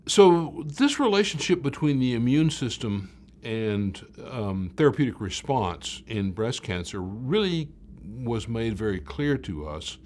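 An older man, around his late sixties, speaking as a professor explaining research in a measured way, stressing key words. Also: fairly low pitch. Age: older, about late 60s. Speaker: medical researcher, professor of cancer biology. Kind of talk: explanatory talk to camera about research.

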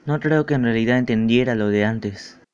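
A man's voice: mid-range voice, normal speed, darkish tone, smooth, pitched below the performer's own voice, lightly acted. A man playing an earnest teenage schoolboy in a fan-dubbed anime. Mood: soft, reflective. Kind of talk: anime fandub acting. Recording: dull lo-fi audio with music behind. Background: music.

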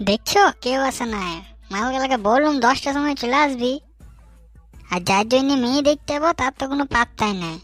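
A bossy young village man leads his friends in a comic cartoon, acted out by a man. His voice is very high and teasing, with a rural West Bengal accent. It is dry and close, with faint music behind. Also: brisk, fairly bright tone, dry recording. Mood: impatient.

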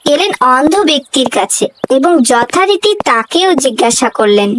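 Lively high-pitched woman storyteller. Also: moderate pace, a little hiss or hum, rough recording. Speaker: narrator. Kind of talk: scripted storybook narration.

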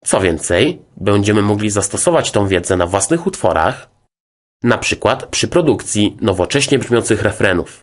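A man narrating a scripted tutorial as a music software instructor, in a formal, methodical, explanatory way. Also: lowish voice, lively intonation, moderate pace.

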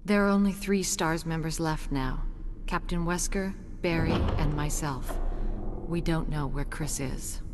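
An actress playing an earnest, alarmed young special police officer in a survival horror game. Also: female voice, low-pitched, a little slow, background noise. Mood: grave. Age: young adult. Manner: urgent, earnest.

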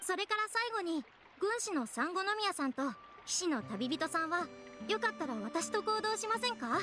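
A woman's voice: very high-pitched, sing-song, moderate pace, background noise. A woman voicing a polite, apologetic young female guide and cheerful mascot in an anime game, explaining things earnestly. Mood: organizing, friendly.

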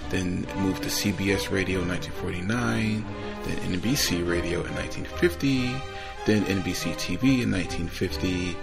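A man giving an informal, slightly halting introduction to an old radio show as its host. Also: low voice, vocal fry. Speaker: podcast host. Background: loud music.

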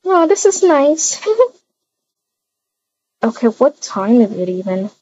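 Casting: woman, higher-pitched, mellow voice, improvised game roleplay, talks through each action. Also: big pitch swings, slow, dull audio, a little hiss or hum. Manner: chatty, narrates own actions aloud. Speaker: roleplay video creator.

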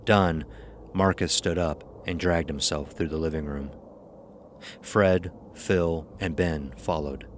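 A man's voice: extremely low pitch, steady tone, takes it slowly, a little hiss or hum. A man narrating a scripted horror story, with ambience behind him.

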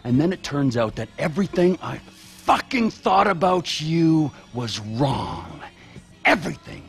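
A man playing a volatile middle-aged North American criminal in a video game, gravelly, needy and menacing, in erratic profane rants. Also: on the high side, sing-song, measured pace, soft background music, full character voice. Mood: wounded rage. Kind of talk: video game cutscene acting.

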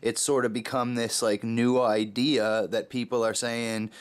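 A man's voice: medium pitch, level tone, a little slow, clean tone. A man conducting a casual interview in a laid-back, conversational way. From Canada. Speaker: interviewer, web broadcaster.

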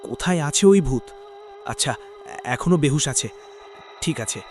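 A man playing an earnest young man who warns and explains gravely in a cartoon drama. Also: a little high, very animated, moderate pace, darkish tone, soft background music. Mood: urgent. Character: earnest, urgent young man warning his friend.

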